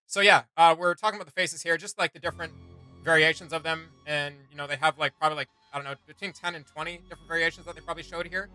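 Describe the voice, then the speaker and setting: Male reaction host, slightly high bright voice, loose slangy commentary, faint music underneath. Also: fairly even intonation, rapid-fire, clear, resonant tone. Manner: informal slangy, thinking aloud.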